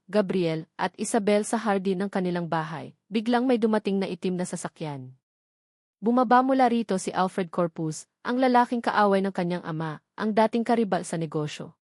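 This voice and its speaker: A woman narrates scripted fiction in a measured, solemn storytelling manner. Her voice sits at a medium pitch. The sound is dry, free of any room echo. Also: a little mellow.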